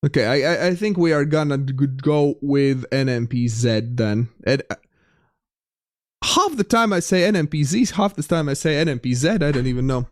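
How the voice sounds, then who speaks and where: A man's voice: a little high, lively intonation, normal speed, no echo, clean studio sound. Chatty Latvian-accented man streaming. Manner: chatty, reads chat aloud, frequent restarts. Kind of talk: casual live-stream chat with viewers.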